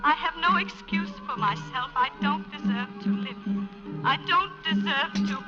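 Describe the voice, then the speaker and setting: A woman's voice: sits high, expressive, moderate pace. A woman playing a guilt-ridden, passionate married woman, speaking in theatrical, repetitive, anguished 1940s radio style. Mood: tormented. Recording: muffled 1940s radio recording, music behind.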